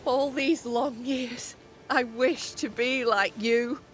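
A woman playing a guilt-ridden, grieving younger sister in a dramatic video game, slow and anguished, with archaic phrasing. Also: on the high side, fairly even intonation, slight background noise. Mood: anguished, bitter.

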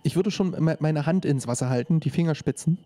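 Man, tabletop player, soft dark timbre, tentative, casual, step-by-step. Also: on the high side, a little fast, no echo. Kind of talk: casual play talk in an online tabletop game.

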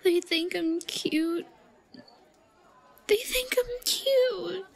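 A woman's voice: very high-pitched, drawn-out words, gravelly, heavily acted. A woman playing a timid, lovestruck yandere schoolgirl in an audio roleplay, stammering and murmuring breathily with hesitation. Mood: flustered, panicked. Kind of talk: intimate audio roleplay acting.

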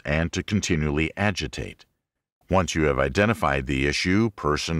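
Guy narrating a self-help audiobook, really low voice, measured delivery, dry close recording. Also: fairly bright tone, no room sound.

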